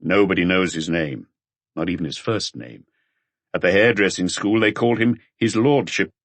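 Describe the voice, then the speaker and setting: A man voicing a brooding middle-aged police detective in an audiobook, measured and matter-of-fact. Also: sits low, no room sound.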